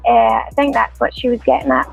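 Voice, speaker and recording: Soft, high-voiced woman. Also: lively intonation, fairly quick, gravelly, slightly rough, no echo, faint music behind, rough recording. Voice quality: soft, quiet, sweet-sounding. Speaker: professional fighter. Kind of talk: casual interview answer.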